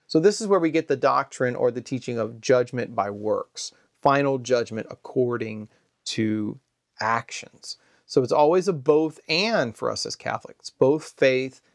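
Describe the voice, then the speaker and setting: A man in his forties explains scripture to the camera with earnest emphasis, his voice sitting at a middle pitch. The sound is close and dry, with no room echo, as he sits in a book-lined study and slows down to read passages aloud. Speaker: Bible teacher. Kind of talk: explanatory Bible lesson to camera. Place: study with bookshelves, indoors.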